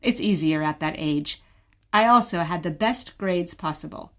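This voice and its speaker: A woman acting a gentle, patient mother who tells stories of her childhood in a scripted radio play. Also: fairly low pitch, normal speed, natural voice. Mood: patient storytelling. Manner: patient, storytelling.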